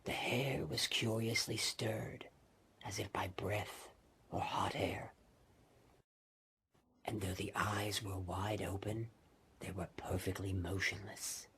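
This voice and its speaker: A man's voice: sits low, slight background noise. A man reading a story aloud as an audiobook narrator, theatrical and dramatic in delivery. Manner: theatrical, swinging from emphatic to hushed. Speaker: audiobook reader.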